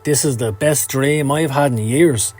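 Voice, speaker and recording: A man's voice: medium pitch, a little slow, darkish tone, natural voice. A Scottish man playing a cynical, down-at-heel occult hustler, telling his story in a sardonic, streetwise way for a motion comic. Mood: brooding. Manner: sardonic, streetwise, foul-mouthed. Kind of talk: dramatic first-person comic narration. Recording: music under the voice. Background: music.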